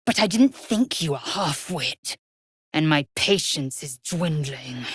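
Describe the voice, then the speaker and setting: Githyanki warrior woman: proud and imperious, low raspy voice, slow clipped commands, fantasy video game. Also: animated, no room sound, studio quality, heavily acted. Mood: cold, disdainful. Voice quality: husky, raspy. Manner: clipped, commanding, haughty, formal wording. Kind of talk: game voice acting, short scripted lines.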